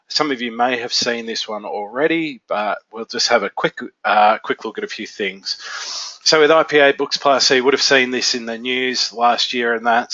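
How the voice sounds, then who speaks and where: A man with a stuffy nose from a cold, presenting a software training webinar in a casual, filler-heavy way. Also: fairly low pitch, dry recording. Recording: dull, lo-fi webinar audio.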